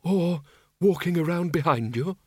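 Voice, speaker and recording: A man voicing a sly old undead wizard with a coaxing, quick-thinking manner, in a storybook reading. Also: a little high, expressive, husky, no room sound, voice pushed up.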